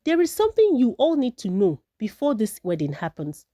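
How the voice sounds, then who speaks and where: A woman storyteller voicing a smug, envious man from a folktale village, smooth, confident and accusing. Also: fairly high pitch, wildly swooping pitch, fairly quick, dark tone, no echo, studio quality. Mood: sinister, accusing. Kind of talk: storybook character voice.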